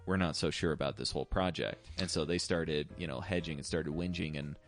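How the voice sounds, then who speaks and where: A man's voice: rumbling low, steady tone, fairly quick, soft background music. A man talking casually about film as a co-host. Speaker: podcast co-host discussing film.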